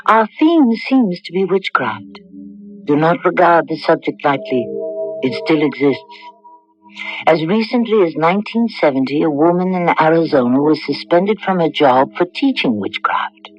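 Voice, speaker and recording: A woman's voice: low-pitched, animated, normal speed. Woman, radio mystery host, scripted narration, dull old recording, faint music.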